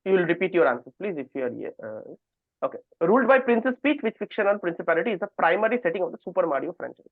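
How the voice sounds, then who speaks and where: Male quizmaster, fairly high voice, reading questions, thin phone-like sound, dry. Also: very animated, fast talker, no room sound. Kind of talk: reading quiz questions aloud to contestants. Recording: narrow phone-like call audio.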